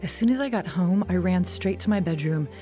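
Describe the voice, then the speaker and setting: A woman's voice: fairly low pitch, brisk, mellow, natural voice. An actress playing a troubled young woman who earnestly tells her own story in an audio drama. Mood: reflective. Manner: earnest, confessional. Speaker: narrator and lead actor in audio drama. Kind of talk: first-person dramatized testimony narration. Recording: music bed under studio voice. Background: music.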